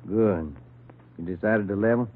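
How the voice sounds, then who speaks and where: Easygoing American cop sidekick played by a man, plainspoken, fairly low pitch, dull vintage broadcast sound. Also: normal speed, close to an everyday voice. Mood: guarded. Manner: folksy, plainspoken. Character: grumbling, easygoing male detective partner, a family man. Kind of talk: 1950s radio crime drama acting. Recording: muffled, noisy old radio recording.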